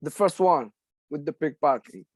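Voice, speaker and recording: Man in his forties, adult student, halting english, dry close recording. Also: fairly high pitch, animated, dry recording. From Morocco. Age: 40s. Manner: hesitant, halting, with many fillers. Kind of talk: casual conversation.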